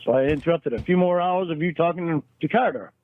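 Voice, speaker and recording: A man's voice: fairly high pitch, brisk, no room sound. Accusing man on a tinny phone line. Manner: repetitive, accusing, stumbling. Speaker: caller in a recorded phone argument. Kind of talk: heated phone argument.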